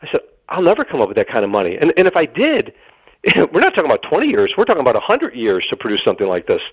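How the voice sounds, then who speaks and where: A man's voice: fairly high pitch, huge pitch swings, very fast, breathy edge, dry recording, low-quality recording. A middle-aged man telling a personal story as a radio interview guest, earnest and dramatic, acting out the dialogue and repeating phrases for emphasis.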